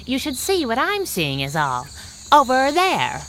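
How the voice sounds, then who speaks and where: An actress playing a plucky, wisecracking young crime-fighting sidekick in a pulp radio adventure, with a sardonic, snappy delivery. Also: female voice, high voice, huge pitch swings, unhurried, a bit bright, a little hiss or hum, exaggerated voice. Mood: curious, snappy.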